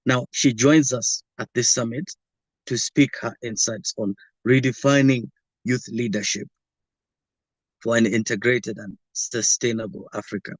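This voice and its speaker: Husky-voiced man introducing a speaker. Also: medium pitch, fairly even intonation, dry recording. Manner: formal introduction, slightly halting. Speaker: moderator.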